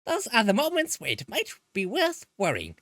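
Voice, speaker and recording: A woman's voice: on the high side, huge pitch swings, moderate pace, no room sound, voice pushed up. A woman voicing a breezy, cryptic and sinister young woman from a visual novel, teasing in a sing-song cheer. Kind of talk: visual novel character dubbing.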